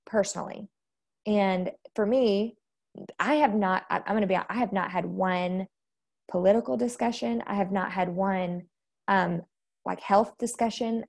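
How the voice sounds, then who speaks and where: An American woman hosting an informal devotional talk, candid and conversational, with a slightly rough, congested voice from allergies. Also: fairly low pitch, a little mellow. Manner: candid, conversational, self-deprecating. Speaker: host of a faith-based live devotional and podcast.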